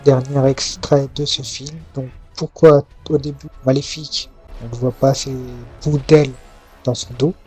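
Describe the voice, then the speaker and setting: A man's voice: mid-range voice, level tone, measured pace, a little mellow. A man doing voice-over commentary on film clips as a host, explaining things and putting questions to viewers. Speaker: host of a film goofs video series. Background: background music.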